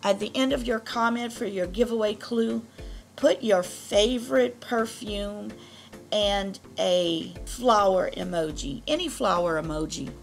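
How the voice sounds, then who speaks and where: A woman beauty vlogger talks through product copy at an unhurried pace. Her voice is deep, rough and strained from allergies, with a gravelly rasp. Faint music plays behind her. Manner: slow, careful reading of product copy. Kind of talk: reading product descriptions to camera.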